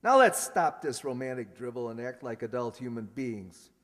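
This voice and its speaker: A man's voice: mid-range voice, lively intonation, normal speed, fairly bright tone, lightly acted. A man acting in a radio sitcom as a grumpy, officious middle-aged school principal, blustering and irritable. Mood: pompous. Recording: echoey hall, long reverb.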